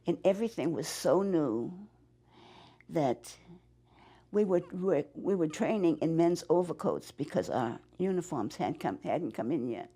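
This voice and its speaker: A woman in her nineties speaks with a New England accent, her voice low, gravelly and husky. She rambles through her memories in a halting way, restarting her sentences, her pitch swinging widely. The tone is dark and mellow. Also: lively intonation. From Massachusetts. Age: 90s. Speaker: interviewee, war veteran. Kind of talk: oral history interview.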